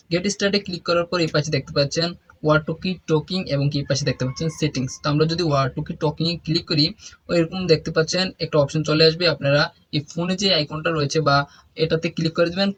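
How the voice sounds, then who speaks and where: A man's voice: a little high, low-quality recording. A man hosting a step-by-step app tutorial, addressing viewers directly in an instructional, repetitive way. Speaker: tech tutorial host. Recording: faint background noise.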